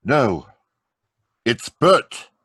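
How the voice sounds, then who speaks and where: A man's voice: medium pitch, very animated, very slow, fairly bright tone, slightly rough, no room sound, studio quality, full character voice. A man playing a confident hero detective with a secret identity in an old-time radio drama read over a video call. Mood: determined.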